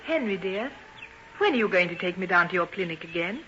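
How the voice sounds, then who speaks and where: An actress playing a devoted, anxious young fiancée in old-time radio drama, with a tender, pleading, melodramatic manner. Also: female voice, on the low side, lively intonation, brisk, fairly bright tone, soft background music. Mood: affectionate. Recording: dull, noisy old radio recording.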